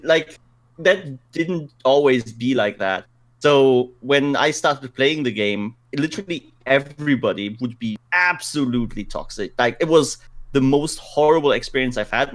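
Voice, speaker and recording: A man chatting casually as a co-host on a gaming podcast. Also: medium pitch.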